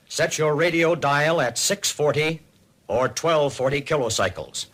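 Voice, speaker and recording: A man with an American accent narrating a 1950s civil defense radio program in a formal, deliberate, authoritative announcer style. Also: mid-range voice, a bit bright.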